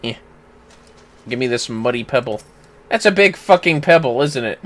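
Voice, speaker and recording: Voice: medium pitch, lively intonation, normal speed, fairly bright tone, slight background noise. A livestreamer chatting casually over a game, with wry, sweary grumbling. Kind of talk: casual game stream chat.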